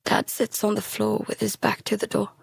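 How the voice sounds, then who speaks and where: A woman playing a frightened, guilt-ridden youngster who narrates and speaks in an audio drama, halting and stammering. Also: mid-range voice, completely flat delivery, creaky, slightly rough, no room sound, studio quality, heavily acted. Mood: haunted, subdued. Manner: halting, stammering, breaking into whispers. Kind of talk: first-person audio drama narration with dialogue.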